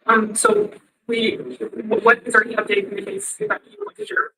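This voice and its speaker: A woman interviewer asking questions in a news-style interview, heard on a low-volume secondhand clip with noise. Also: sing-song, moderate pace, gravelly, pushed and bright, slightly rough, poor audio.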